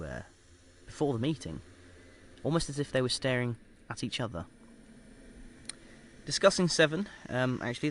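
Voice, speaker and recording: An actor playing a measured research scientist who dictates an experiment log in a formal, clinical manner, with hesitant pauses, in an audio drama. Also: male voice, medium pitch, fairly bright tone, slight background noise, close to an everyday voice.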